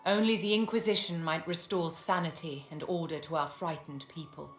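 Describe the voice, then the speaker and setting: In a reverberant hall with music underneath, an actress voices an aristocratic, shrewd woman mage for a video game. Her voice sits fairly low for a woman, and she speaks with measured, haughty courtesy. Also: fairly even intonation, natural voice. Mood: courtly, composed.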